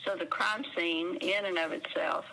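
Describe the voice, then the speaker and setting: A woman's voice: lowish voice, fairly even intonation, moderate pace, bright, forceful tone, slight background noise, poor audio. A woman playing a guarded, blunt park ranger investigator, answering interview questions matter-of-factly with an American accent.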